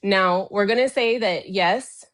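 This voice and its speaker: A Haitian woman, a guest in a political debate, speaking slowly and deliberately with firm emphasis. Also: medium pitch, a bit bright.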